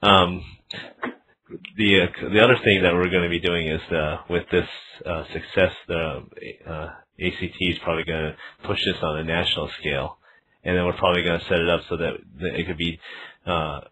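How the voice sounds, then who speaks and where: A man interviewing someone casually over the phone as a developer, talking in a halting way and often saying "you know". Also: low voice, fairly even intonation, pushed and bright, poor audio. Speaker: interviewer and developer of a learning game. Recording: phone interview with clear background noise.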